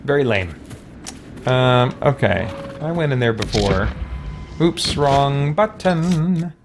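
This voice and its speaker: A man's voice: medium pitch, lively intonation, slow, slight background noise. A man giving casual, rambling commentary as he streams a video game. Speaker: streamer and host of a game let's-play.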